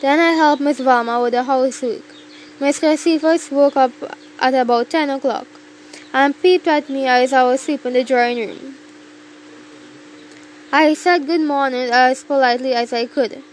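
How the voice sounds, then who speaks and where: A woman's voice: high voice, fairly even intonation, moderate pace, slight background noise, low-quality recording. This is a female narrator. She reads a scripted first-person story, with faint ambience in the recording.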